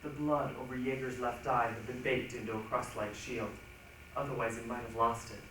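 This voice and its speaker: A man's voice: medium pitch, level tone, normal speed, a bit bright. A man giving scripted narration in a readers' theatre performance. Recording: very echoey hall, distant mic, faint noise.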